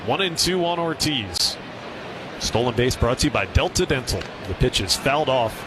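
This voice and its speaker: A man's voice: medium pitch, lively intonation, normal speed, background noise. A man doing baseball play-by-play on the radio, with clipped, descriptive calls that rise on the action. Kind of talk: radio sports commentary.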